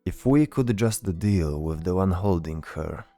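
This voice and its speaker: A man's voice: deep voice, animated, measured pace, dark tone, no echo, soft background music. A man playing an earnest, dutiful soldier in a fantasy audio drama, terse and serious. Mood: resolute.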